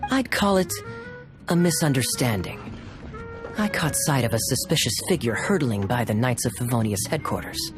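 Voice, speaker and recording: An actor playing a suave young officer in a video game, smooth-talking and wry with theatrical charm. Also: male voice, mid-range voice, animated, moderate pace, close to an everyday voice. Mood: wry, teasing. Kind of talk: English video game voice acting. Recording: music playing behind the voice.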